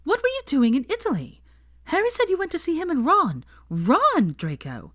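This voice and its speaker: A woman voicing a bossy, suspicious, highly strung young witch who fires off emphatic, demanding questions in a story reading. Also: huge pitch swings, normal speed, a bit bright, no room sound, studio quality, heavily acted. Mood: indignant, alarmed.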